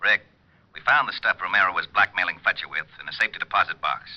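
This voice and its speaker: A man performs a gruff police lieutenant from an old radio show. His deep voice rattles along quickly and swings about, easily flustered. The recording sounds muffled. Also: lightly acted. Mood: businesslike. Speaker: actor playing a police lieutenant. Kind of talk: old-time radio acting.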